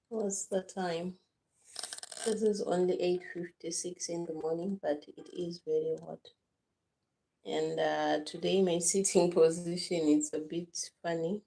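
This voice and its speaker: A woman from Zimbabwe hosting a live stream outdoors on a veranda, hoarse from just waking up, talking slowly with many halting fillers into a phone set on the floor. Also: on the low side, warm tone, low-quality recording. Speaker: livestream host. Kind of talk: casual live-stream chat.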